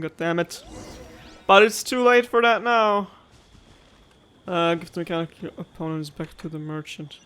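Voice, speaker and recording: Male let's play host, slightly high-pitched, offhand, sarcastic commentary while playing. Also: fairly bright tone, slight background noise. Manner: sarcastic, rambling, thinking aloud. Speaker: gaming video host.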